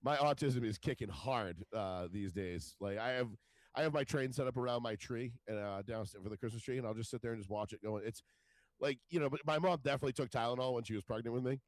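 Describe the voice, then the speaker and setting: A man's voice: mid-range voice, quick pace. A man in his forties with a stuffed-up, nasal voice, rambling crudely and mocking himself in a casual chat as co-host. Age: 40s. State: stuffed up, congested. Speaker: podcast co-host.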